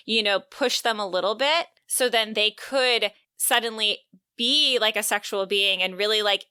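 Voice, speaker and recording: A woman's voice: mid-range voice, bright, forceful tone, clean tone, no room sound. A woman co-hosting a casual book discussion podcast, explaining things in an earnest, emphatic way. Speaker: podcast co-host.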